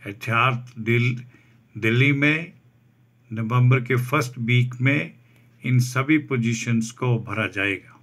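A man reads out a job advertisement over a document, slowly restating each English term in Hindi. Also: mid-range voice, fairly bright tone. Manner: slow, deliberate, restates each point in Hindi after English terms. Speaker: job vacancy channel host.

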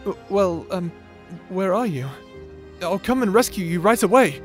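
A man's voice: sits high, lively intonation, a little slow, soft background music. A man playing a timid, lovesick young adventurer in an audio drama, stammering, hesitant and apologetic. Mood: flustered, nervous. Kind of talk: audio roleplay drama acting.

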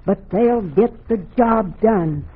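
An actress playing a feisty, middle-aged frontier wife with a folksy, scolding manner in an old-time radio drama. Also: female voice, mid-range voice, expressive, takes it slowly, breathy edge, heavily acted. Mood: knowing. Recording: muffled, tinny old radio recording.

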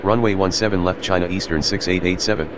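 A man's voice as a simulated pilot, reading back clipped radio calls in a flat, clipped way. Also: deep voice, fast, muffled recording, background noise, natural voice.

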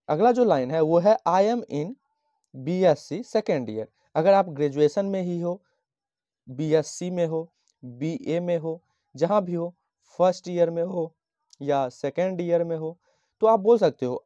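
Man from Bihar, tutor type, slow patient explainer, mellow tone, dry dull lo-fi sound. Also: on the high side, dry recording. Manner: slow, patient, repeats each phrase and dictates English lines. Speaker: teacher. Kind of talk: handwritten English lesson explained in Hindi. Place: at a desk, writing on a notebook page.

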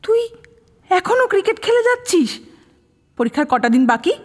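A woman playing a sensible, caring, gently scolding young student in a romantic audio drama, with a Kolkata Bengali accent. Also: very high-pitched, big pitch swings, normal speed. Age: young adult. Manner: questioning, mock-stern, affectionate. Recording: long reverb, music bed.

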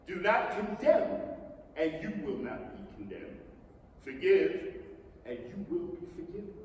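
A man's voice: sits high, huge pitch swings, unhurried, a little mellow. A man giving a solemn, emphatic, declaimed dramatic reading of scripture on stage. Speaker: stage reader. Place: on stage in a large indoor theatre. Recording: echoey theatre hall from far off, noisy.

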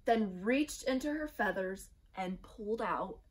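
A young woman narrating a children's storybook in a deliberate, animated, expressive teacher's read-aloud. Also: medium pitch, distant mic. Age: young adult. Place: small indoor room, seated before a door. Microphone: camera a few feet away.

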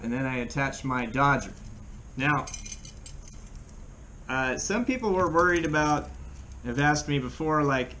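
Higher-voiced American man, halting how-to talk. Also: a bit bright. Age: middle-aged. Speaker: fishing channel host. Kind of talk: casual how-to demonstration. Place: small room at home, indoors. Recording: clear background room noise.

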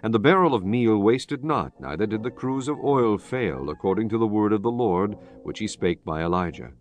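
Low-voiced man narrating scripture. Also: expressive. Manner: solemn, measured storytelling.

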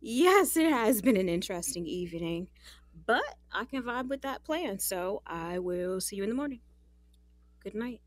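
A woman's voice: mid-range voice, animated, moderate pace, no room sound, lightly acted. An American actress playing an independent, guarded but grateful young woman in audio drama dialogue, casual and candid. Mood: amused, accepting.

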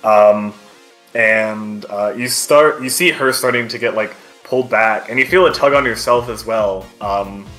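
A man improvising narration as game master of a tabletop game, in a halting, hesitant way. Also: fairly low pitch, bright, forceful tone, faint music behind, poor audio.

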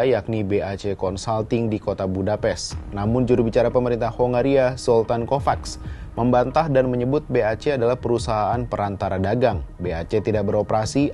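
A man's voice: mid-range voice, dark tone. A man narrating a scripted news explainer as a voice-over. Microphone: voice-over microphone. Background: background music.

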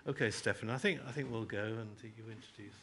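A man's voice: lowish voice, fast, noisy background. A man hosting an event and introducing student speakers in a rambling way with many fillers, in a small gallery room. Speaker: event host.

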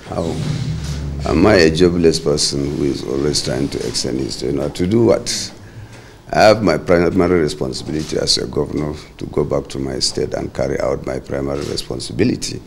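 Very deep gravelly man fielding reporters. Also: fairly quick, a little hiss or hum. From Nigeria. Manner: firm, brushes off questions, formal. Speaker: party caretaker chairman and governor. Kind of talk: press briefing. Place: indoor corridor, surrounded by reporters. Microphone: many handheld reporter mics.

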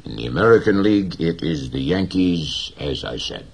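A proud, gentle old fisherman speaking in slow, simple sentences, read by a man. The voice sits very deep with a husky, breathy grain. Its pitch still moves freely. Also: expressive, full character voice. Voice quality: slow, plain, dignified speech.

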